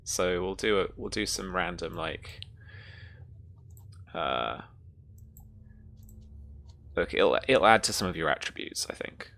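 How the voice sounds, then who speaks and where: A game master narrating a live tabletop horror game in an improvised, halting way, pausing to search for words. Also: sits low, expressive, vocal fry, fairly bright tone.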